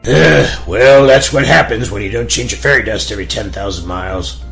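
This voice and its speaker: A man's voice: lowish voice, normal speed, vocal fry, a bit bright, faint music behind. A man voicing a weary, grumbling, coffee-loving king with a wry, flustered manner in a comic fairy-tale radio play.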